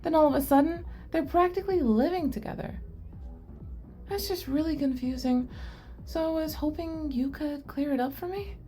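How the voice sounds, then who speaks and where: Woman playing a high-pitched, nerdy young goblin girl. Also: normal speed, faint music behind, natural voice. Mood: earnest, puzzled. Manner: earnest, inquisitive, expressive. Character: shy, curious, nerdy young goblin girl, sweet and eager. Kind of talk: ASMR roleplay acting addressed to the listener.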